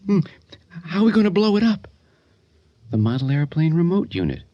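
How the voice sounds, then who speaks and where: A man's voice: a little high, very animated, normal speed, mellow, no room sound, highs cut off. An actor playing a disturbed, scheming son in a radio drama, stammering and shifting tone as he plots murder with nervous glee. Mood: manic glee. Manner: stammering, talking to himself in shifting tones. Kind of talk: old-time radio drama acting.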